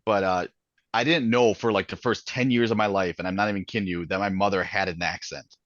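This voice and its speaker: A man's voice: sits low, steady tone, a little fast, fairly bright tone, no echo, dull audio. A man from Minnesota with a Minnesotan accent, chatting in an author interview, rambling with lots of fillers and restarts. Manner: rambling, many fillers and restarts, laughs often. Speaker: guest author. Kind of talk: casual interview chat.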